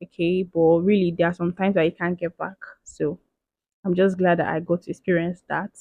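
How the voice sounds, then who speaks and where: A woman's voice: on the low side, level tone, moderate pace, dry recording, phone-quality sound. A young woman, chatting playfully through a question-and-answer vlog, often tagging her lines with "okay". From Nigeria. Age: 20s. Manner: chatty, playful, emphatic, with frequent "okay" and "like" tags. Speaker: vlogger. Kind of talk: casual Q and A vlog.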